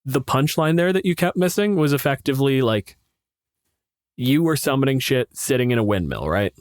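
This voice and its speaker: A man's voice: medium pitch, lively intonation, normal speed, no echo. Man co-hosting a podcast, blunt and profane. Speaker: podcast co-host and game master. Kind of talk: casual podcast banter.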